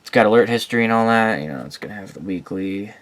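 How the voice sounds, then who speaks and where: A man's voice: lowish voice, lively intonation, quick pace. A man casually and informally presenting his collection in a rambling, unscripted vlog.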